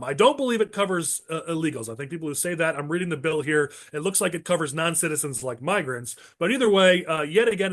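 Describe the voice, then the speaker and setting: A man hosting a political panel podcast, moving briskly through agenda items in a partisan tone while losing his voice. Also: fairly high pitch, a bit bright. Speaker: podcast host.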